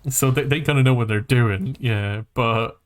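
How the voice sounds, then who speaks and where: A man with a medium-pitched voice co-hosts a casual film and game chat. He is animated and swears freely, circling around his point in halting, rambling phrases. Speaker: podcast co-host.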